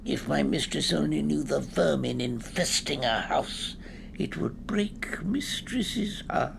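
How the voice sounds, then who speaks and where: An actor playing a bitter, grovelling old servant elf in a video game, muttering spitefully. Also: male voice, on the high side, lively intonation, vocal fry, background noise, exaggerated voice. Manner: grovelling yet spiteful, drawn-out. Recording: music behind the voice.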